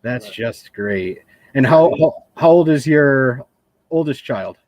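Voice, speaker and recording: A man's voice: mid-range voice, animated, slow, darkish tone, no echo, poor audio. A man in his twenties hosting a live online fishing interview from a home studio, effusive and complimentary toward his guest. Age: 20s. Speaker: host of a fishing channel.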